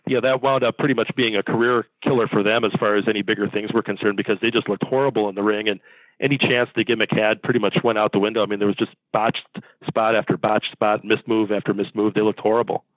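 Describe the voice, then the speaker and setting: A man's voice: fairly low pitch, steady tone, fast talker, no room sound, muffled recording. A man appearing as a podcast guest, explaining things in a knowledgeable, matter-of-fact way. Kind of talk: casual podcast chat.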